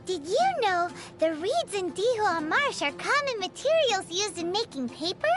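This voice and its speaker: A woman voicing a small, excitable, naive childlike fairy companion in a video game, with a squeaky voice and cute exaggerated delivery. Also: moderate pace. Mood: chirpy, explaining. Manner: speaks of self in third person, exaggerated cute delivery. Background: music.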